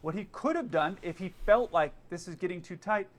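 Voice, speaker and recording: A man's voice: sits high, lively intonation, fairly quick. A man giving casual, opinionated commentary, explaining aviation procedures in plain terms. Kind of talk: explanatory commentary on air traffic radio.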